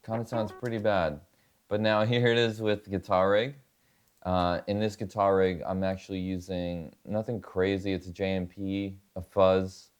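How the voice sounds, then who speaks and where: A man's voice: deep voice, clear, resonant tone. A man chatting casually and demonstrating gear in a laid-back, colloquial way in a home studio. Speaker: guitarist and producer, interview guest.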